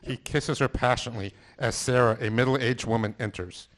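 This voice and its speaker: A man's voice: mid-range voice, steady tone, brisk. A man narrating the stage directions of an amateur radio play in a plain, matter-of-fact way. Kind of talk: scripted narration.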